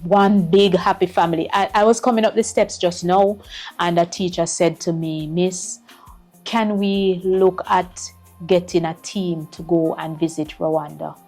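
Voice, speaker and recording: A woman's voice: fairly low pitch, fairly even intonation, unhurried, a little mellow, soft background music. A middle-aged woman, a school principal giving earnest interview answers and repeating words as she finds them. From Jamaica.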